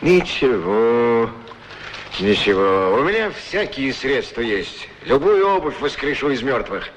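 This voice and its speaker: A middle-aged street shoeshiner in a radio drama, wistful and good-natured. His speech is humble and folksy, with lively swings in pitch. There is steady background noise on the recording. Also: takes it slowly. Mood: obliging. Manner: ruminative, humble, folksy, courteous. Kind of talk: radio play acting, musing monologue and dialogue.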